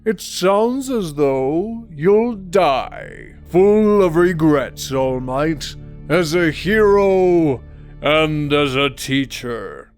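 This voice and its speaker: Guy doing a menacing anime villain, creaky n gloating, big swooping delivery, faint music. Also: on the high side, expressive, heavily acted. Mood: gloating menace. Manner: slow, taunting, deliberate. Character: menacing, mocking male supervillain, cold and gloating. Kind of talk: dramatic anime dub acting.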